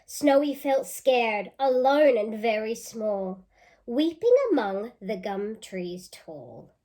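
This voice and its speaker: A woman reads a storybook as a teacher would for young children. Her voice is fairly high and she takes her time, reading expressively and stopping to ask the listeners questions. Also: lively intonation, slow, warm tone. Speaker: teacher reading a picture book aloud. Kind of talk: children's read-aloud with questions.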